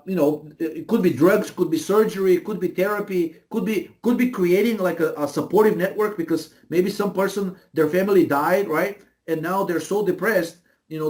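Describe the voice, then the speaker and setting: A man with a slightly high voice and a Bulgarian accent speaks as an interview guest. He explains things in a conversational, emphatic way, often repeating phrases and tagging points with a quick check for agreement. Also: darkish tone.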